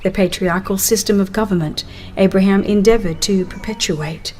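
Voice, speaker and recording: A woman reading a religious book aloud as dramatized scripted narration, solemn, earnest and measured. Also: mid-range voice, a little mellow. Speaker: audiobook narrator.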